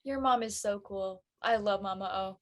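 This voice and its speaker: A woman's voice: mid-range voice, moderate pace, natural voice. A woman playing an earnest, explanatory teenage girl who talks in casual slang in a drama read. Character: earnest churchgoing teenage girl, preachy friend.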